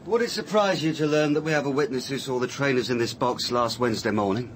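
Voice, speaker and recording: An actor playing a sardonic, pressing police sergeant in a radio mystery, husky, pointed and mock-polite as he needles a witness. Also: mid-range voice, fast, a little hiss or hum, close to an everyday voice. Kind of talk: British radio mystery drama acting.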